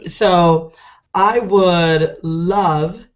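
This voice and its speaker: A middle-aged woman hosting an online show, hoarse and deep-voiced from a cold, talking in a chatty, rambling, enthusiastic way. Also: drawn-out words, highs cut off. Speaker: host. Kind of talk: casual online show talk.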